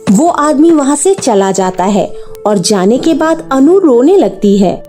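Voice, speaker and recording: A woman's voice: sits high, very animated, a little slow, warm tone, faint music behind. A woman narrating a scripted children's cartoon story.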